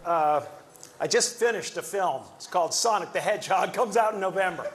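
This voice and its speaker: A man's voice: high voice, a little fast. A man speaking as a presenter at an awards show.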